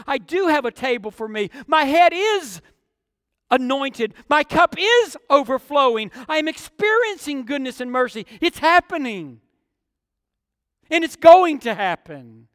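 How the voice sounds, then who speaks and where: A man's voice: very high voice, a bit bright, no room sound, clean studio sound, long reverb tail. A man preaching an expository sermon, with emphatic phrasing and repetition for effect.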